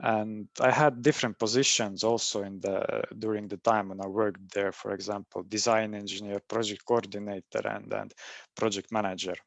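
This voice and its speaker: A man's voice: lowish voice, level tone, moderate pace, vocal fry, no room sound. A young man from Estonia with an Estonian accent speaks in a career webinar, hesitating often and repeating "and, and" as he restarts his sentences. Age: young adult. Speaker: mechanical engineer on a career panel. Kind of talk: webinar talk.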